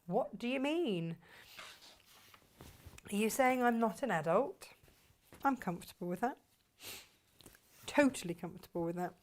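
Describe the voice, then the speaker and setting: A woman hosting a sewing tutorial, her voice a little croaky from hay fever, chatting casually to viewers while she cuts fabric. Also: fairly high pitch, expressive, measured pace, pauses often, a little mellow. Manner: chatty, self-deprecating, talks to viewers while working. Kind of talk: casual craft demonstration.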